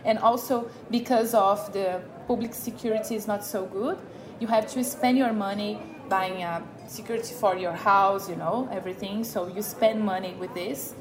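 A woman in her thirties from Brazil, with a Brazilian accent, telling her personal story in a casual way as an interviewee. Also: medium pitch. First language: Portuguese. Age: 30s. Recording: music playing behind the voice. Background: background music.

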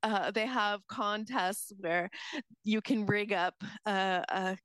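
A woman's voice: mid-range voice, fairly even intonation, slow, a bit bright, no room sound. A woman chatting casually on air as a community reporter.

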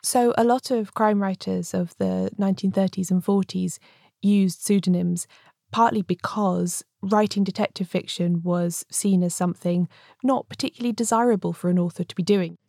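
A woman with a low, gravelly, mellow voice explains literary history in a measured way. The sound is close and dry. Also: steady tone, no echo. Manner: measured, expository. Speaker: podcaster on crime fiction. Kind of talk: informed interview explanation.

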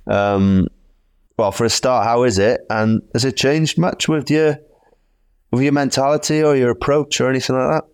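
A man's voice: mid-range voice, fairly even intonation, moderate pace, clear, resonant tone, dry recording, clean studio sound. A man with a Welsh accent hosting a podcast interview in a casual, chatty style. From Wales. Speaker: podcast host. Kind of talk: casual chat.

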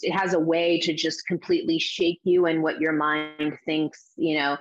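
A middle-aged woman from the Azores talking as an interview guest, reflective and halting with many restarts. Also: low-pitched. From Azores, Portugal. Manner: reflective, with frequent restarts and fillers. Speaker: guest, spiritual teacher and coach. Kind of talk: interview answers. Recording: dull lo-fi sound.

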